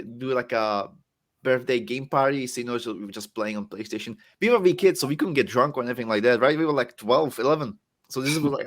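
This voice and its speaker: A young man from the Czech Republic with a Czech accent, a guest wrestler rambling and joking about himself in casual chat. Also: mid-range voice. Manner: rambling, self-mocking storyteller.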